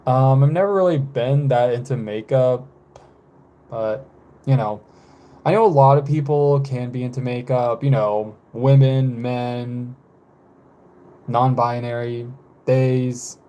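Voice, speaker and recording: Slow, mellow-voiced man in his twenties. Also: mid-range voice. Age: 20s. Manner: rambling, hesitant, many fillers and self-corrections; frequent soft laughs. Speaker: live streamer. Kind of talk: casual chat with live viewers.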